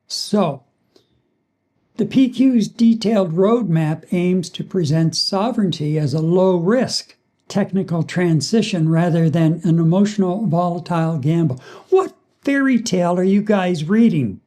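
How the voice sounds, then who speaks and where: A woman on a political talk show makes commentary in a sarcastic, disbelieving way. She speaks very slowly in a fairly low, warm, mellow voice. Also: lively intonation. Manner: sarcastic, incredulous.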